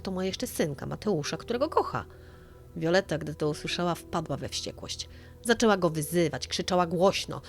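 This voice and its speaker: Low-voiced woman narrating over music. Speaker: narrator of a true-crime story. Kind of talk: scripted dramatic audio-story narration. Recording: music bed under the voice. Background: background music.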